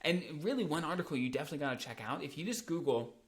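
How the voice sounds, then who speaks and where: A man's voice: a little high, racing. Upbeat man explaining retirement rules. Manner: upbeat, energetic, with frequent restarts and emphatic peaks. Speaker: financial advisor hosting a video channel. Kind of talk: casual explainer video.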